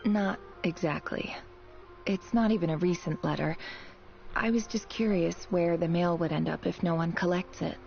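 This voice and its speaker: A low, gravelly-edged young student. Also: female voice, steady tone, normal speed, highs cut off, faint music behind. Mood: guarded, polite. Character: young female academy student, guarded, wry and matter-of-fact. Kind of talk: video game voice acting.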